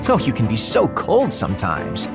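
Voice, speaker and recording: Theatrical, swooping, teasing rogue. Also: male voice, lowish voice, wildly swooping pitch, moderate pace, over music. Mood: playful, teasing. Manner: teasing, mock-wounded, smooth talking. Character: flippant, theatrical young male rogue assassin. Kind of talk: dramatic video game acting.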